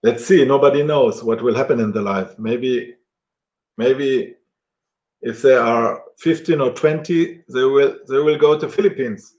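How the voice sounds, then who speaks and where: German guy, middle aged, chatting in broken english, stops and repeats himself, mid pitched voice. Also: a little mellow, muffled recording. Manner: halting, repeats words, self-correcting English. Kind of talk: casual talk to camera.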